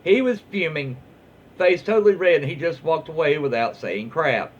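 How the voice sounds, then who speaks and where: A man's voice: on the high side, lively intonation, normal speed, slightly rough. A man with a Southern US accent reading stories aloud in a casual, folksy, informal way as a host. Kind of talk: casual read-aloud storytelling. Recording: muffled, lo-fi with faint background noise.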